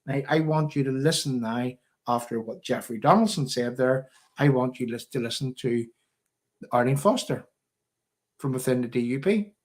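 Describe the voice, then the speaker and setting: Male political stream host, Northern Irish, mid-pitched voice, emphatic and rambling delivery. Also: moderate pace, a little mellow. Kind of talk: live political commentary to viewers.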